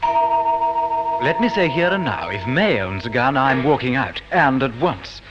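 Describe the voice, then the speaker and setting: An actor playing a flippant society gentleman in a 1950s radio drama, clipped and pompous. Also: medium pitch, wildly swooping pitch, measured pace. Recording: muffled old radio, loud music behind. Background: music.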